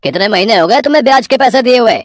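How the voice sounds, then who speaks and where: A woman voicing a menacing male moneylender with a gruff, intimidating manner. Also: a little high, expressive, very fast, no room sound, clean studio sound, lightly acted. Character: menacing male village moneylender, harsh and threatening.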